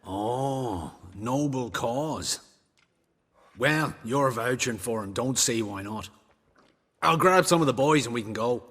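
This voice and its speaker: An actor voicing a rough, chummy gang enforcer in a video game, husky and blunt. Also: male voice, mid-range voice, animated, slow, fairly bright tone. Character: rough, matey male hired muscle with a gang. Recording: long hall-like reverb.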